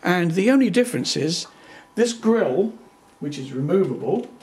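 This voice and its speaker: A man's voice: high voice, very animated, normal speed, a little mellow, husky. Older man explaining speakers with dry wit. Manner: dry wry remarks, plain-spoken. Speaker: hobbyist reviewer. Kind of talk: casual technical explainer. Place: living room at home. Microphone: built-in camera microphone.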